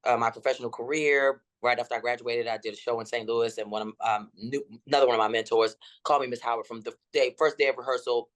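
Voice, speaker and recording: A woman in her fifties with a deep, rolling voice, telling her story dramatically as an interview guest. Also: fast talker, hardly any pauses. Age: 50s. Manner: dramatic and expressive.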